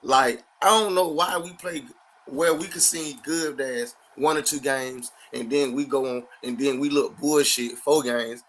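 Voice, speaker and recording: A man's voice: medium pitch, fairly even intonation, measured pace, a bit bright, poor audio. A man, talking sports in a blunt, slangy, casual way and often repeating himself. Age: 30s.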